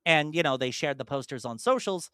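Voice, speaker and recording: A podcast host chatting casually in an animated, rambling way, slipping into imagined dialogue. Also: mid-range voice, a little fast, clean tone, no echo, studio quality. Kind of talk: casual chat, enthusiastic monologue about films.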